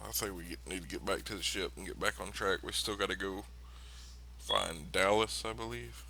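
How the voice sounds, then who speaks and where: A man's voice: low-pitched, normal speed, bright, forceful tone. A man chatting casually as a player in a tabletop role-playing game.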